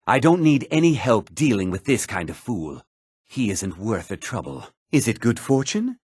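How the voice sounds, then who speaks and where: An actor voicing a haughty, gossipy, well-to-do townsman in a fantasy video game, speaking in a measured, theatrical way with a husky voice. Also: lowish voice, a little mellow, no room sound, studio quality. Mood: composed, placating. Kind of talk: scripted fantasy game character dialogue.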